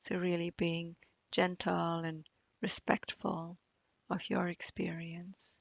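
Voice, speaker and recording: Slow, low-voiced woman guiding meditation. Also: little pitch movement, creaky, slightly rough, dry recording, highs cut off. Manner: gentle, soothing, unhurried instruction with long pauses. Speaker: meditation teacher. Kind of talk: guided body scan meditation.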